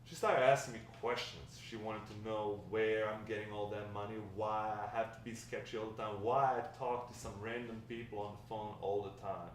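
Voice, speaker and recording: A man playing a grandfather who fondly recounts his wild, lovestruck youth in a halting table read. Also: on the low side, little pitch movement, lightly acted. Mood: rueful, confiding. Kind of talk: table read of a dramatic script. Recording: echoey room, distant mic, faint noise.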